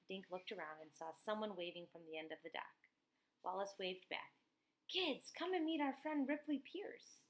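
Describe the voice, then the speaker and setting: A woman's voice: lowish voice, fairly bright tone, poor audio. A woman reads a children's story aloud. She moves between narration and casual explanatory asides, like a teacher with a class book.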